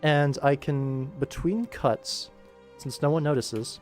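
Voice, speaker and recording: A man's voice: a little high, takes it slowly, darkish tone, soft background music. A man casually commentating on his animation work stream, thinking aloud and chatting informally with viewers. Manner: informal, rambling to himself and viewers.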